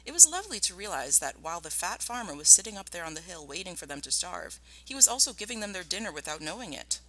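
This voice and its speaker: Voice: medium pitch, sing-song, fast talker, bright, forceful tone, low-quality recording. A primary school teacher explaining a lesson and narrating a storybook expressively.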